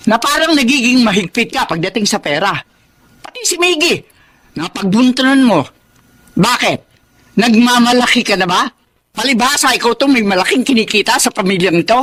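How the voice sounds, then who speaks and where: A woman's voice: on the high side, a bit bright. An actress playing a middle-aged mother who coaxes and then berates her grown daughter. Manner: coaxing, then indignant and accusing. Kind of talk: TV-style family drama acting.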